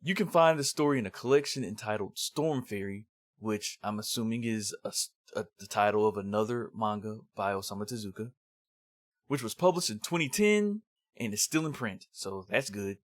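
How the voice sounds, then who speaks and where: A man's voice: fairly low pitch, animated, normal speed, no room sound, clean studio sound. A man presenting a review in semi-scripted narration with casual, rambling asides. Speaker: host of a manga review series.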